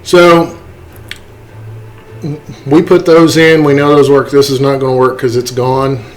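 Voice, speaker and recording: A man's voice: mid-range voice, level tone, measured pace, poor audio. A man giving a casual, unscripted tutorial in a folksy, self-deprecating way. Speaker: tutorial host. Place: indoors, voice-over to screen capture.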